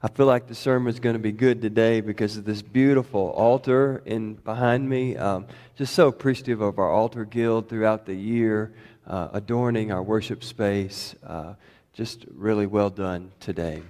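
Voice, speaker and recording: A middle-aged man, a pastor, preaching a chatty sermon in a church, his voice a bit hoarse from shouting, full of fillers and restarts. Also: fairly low pitch, normal speed. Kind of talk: conversational sermon. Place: church sanctuary. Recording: long reverb of a church hall.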